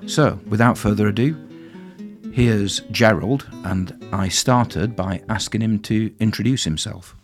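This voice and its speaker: A man hosting a podcast and interviewing a guest in a relaxed, plain-spoken way. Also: lowish voice, a little slow, music in the background. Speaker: podcast host.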